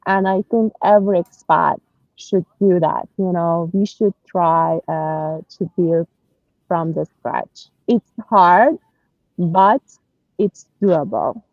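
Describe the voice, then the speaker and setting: A woman's voice: lowish voice, takes it slowly, no room sound, lo-fi sound. A woman from Iran, speaking English with an Iranian accent, answering interview questions in an earnest, hesitant way with many fillers and restarts. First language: Persian. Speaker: guest. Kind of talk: conversational interview.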